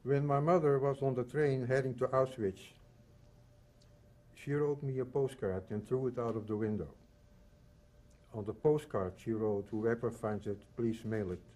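A man in his eighties from the Netherlands and Belgium, reading a prepared personal testimony in measured, solemn short phrases. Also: mid-range voice, fairly even intonation, a little mellow. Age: 80s. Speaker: Holocaust survivor giving testimony.

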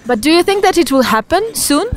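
A young woman reporter holds a mic on a night street and asks earnest questions. Her voice is high and bright in pitch, her phrasing a little halting. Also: very animated. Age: young adult. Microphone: handheld mic.

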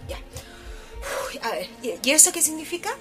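A woman's voice: high voice, big pitch swings, fairly quick. An actress playing a distraught, indignant woman in a radio drama, with a Chilean accent and a breathless, stammering delivery. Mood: frantic, demanding. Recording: background music under voice.

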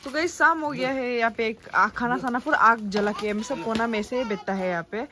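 A young woman from Arunachal Pradesh, chatting excitedly to her phone camera while vlogging around a hill village. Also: a little high, moderate pace. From Arunachal Pradesh, India. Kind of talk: casual vlog narration.

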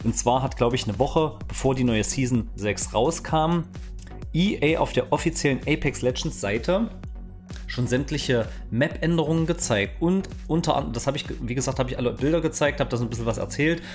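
A man's voice: medium pitch, a little fast. A man gives casual gaming news in a chatty, colloquial way, close on a studio microphone. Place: small home streaming room. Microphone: close studio microphone on arm. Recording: music under the voice. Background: background music.

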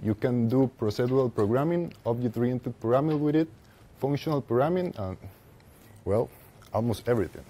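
A young man with a Costa Rican Spanish accent giving a technical presentation as a conference speaker, haltingly, with pauses mid-phrase. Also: mid-range voice, mellow. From Costa Rica. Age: young adult. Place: lecture room with seated audience, indoors.

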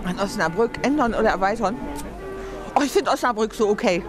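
A middle-aged woman giving quick, good-humored answers in a street interview at a Christmas market at night. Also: medium pitch, over music. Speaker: market visitor.

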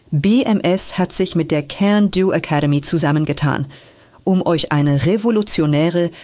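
Casting: voice actor as a ceremonious school head making an upbeat announcement, mid pitch. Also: darkish tone, close to an everyday voice. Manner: formal, ceremonious. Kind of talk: children's audio drama.

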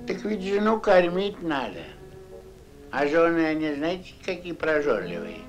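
A man's voice: a little high, very animated, unhurried, faint music behind. A man voicing a stingy, self-important old mole with a pompous, grumbling manner in a vintage cartoon. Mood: skeptical. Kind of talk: Soviet animated fairy-tale acting.

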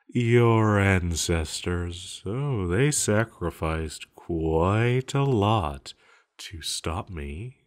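A man playing an ancient banished sorcerer villain in an intimate audio roleplay, musing calmly and haltingly with quiet menace. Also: low-pitched. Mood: soft, reflective. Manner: slow, halting, musing with sighs and hums.

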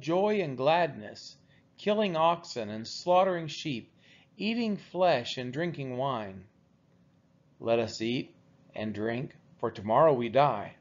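A man reading scripture aloud solemnly and deliberately, phrase by phrase, in a slightly dull recording. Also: on the high side, a little mellow. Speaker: Bible reader.

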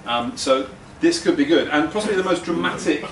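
A man's voice: fairly high pitch, animated, a little fast. A man hosting a live storytelling night, joking with the audience and introducing the next speaker with many ums. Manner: jokey, hesitant with ums. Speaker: event host. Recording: echoey room, distant mic, noisy.

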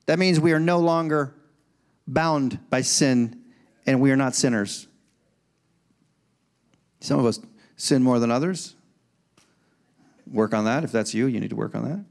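A man in his forties preaching a sermon on a church stage into a handheld microphone, swinging between loud emphatic lines and quiet conversational asides. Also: mid-range voice. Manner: preaching with rising and falling intensity, conversational asides. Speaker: pastor.

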